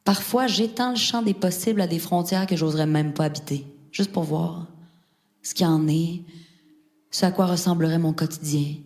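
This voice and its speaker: A woman's voice: fairly low pitch, moderate pace, darkish tone, lightly acted. An actress playing a dreamy woman delivering a poetic theatrical monologue, lingering on her words. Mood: dreamy, musing. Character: dreamy woman confiding a fascination with houses and landscapes. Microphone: stage microphone. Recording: music under the voice. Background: music, seashore waves and gulls.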